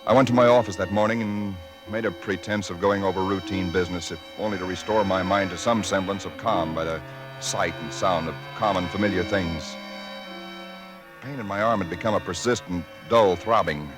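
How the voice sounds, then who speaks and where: A man voicing a frightened young husband who tells his tale in a tense, halting way. His voice sits very low, and music plays clearly behind him. This is old-time radio drama narration. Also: fairly even intonation, lightly acted. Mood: haunted. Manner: tense, halting, stammers under strain. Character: shaken young husband telling his story.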